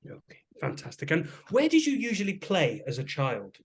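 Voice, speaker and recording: A man with a fairly high, animated voice plays examiner in a mock speaking test. He asks short questions and answers each reply with quick, encouraging praise. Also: slightly rough. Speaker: English teacher acting as examiner.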